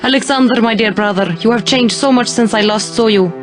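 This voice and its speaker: A woman's voice: on the high side, brisk, close to an everyday voice. A woman playing a tender, determined long-lost princess sister in a dramatic video game cutscene. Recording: soft music behind the voice.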